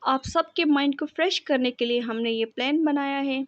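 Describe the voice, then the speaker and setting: A woman's voice: sits high, moderate pace, no echo, muffled recording, natural voice. A woman voicing a cheerful, organised female schoolteacher in a cartoon, announcing things to a class.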